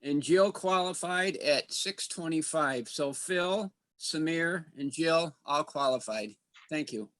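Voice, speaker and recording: A man serving as meeting timer on a video call, reading out the results in a measured way. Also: on the high side, a bit bright.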